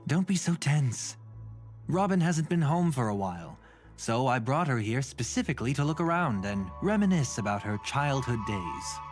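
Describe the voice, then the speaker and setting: Authoritative, guarded gentleman played by a man: formal and controlled, lively melodic intonation, video game scene with music. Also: medium pitch, normal speed, natural voice. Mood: smooth, controlled. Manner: smooth-talking, controlled, formal. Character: composed, authoritative, guarded gentleman, protective elder brother. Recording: background music under voice.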